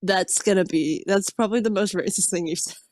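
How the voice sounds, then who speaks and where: A woman's voice: mid-range voice, a little fast, darkish tone, dry recording. Giggly teen girl on voice chat. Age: teens. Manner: giggly, teasing. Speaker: co-host friend on voice chat. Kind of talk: casual chat. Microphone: voice chat mic.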